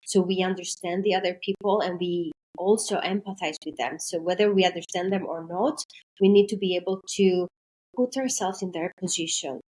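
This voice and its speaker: A woman's voice: on the low side, fairly even intonation, moderate pace, dark tone, low-quality recording. Woman explaining carefully. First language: Greek. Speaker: podcast guest, maritime trainer. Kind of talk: podcast interview.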